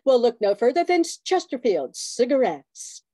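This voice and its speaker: A woman's voice: a little high, sing-song, moderate pace, dark tone, no echo, studio quality. A woman playing a dramatic, salesy cigarette announcer with a bitter streak in an old-time radio commercial. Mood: dramatic sales pitch. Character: smooth cigarette ad announcer woman, wistfully bitter about exes. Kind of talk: 1940s radio commercial acting.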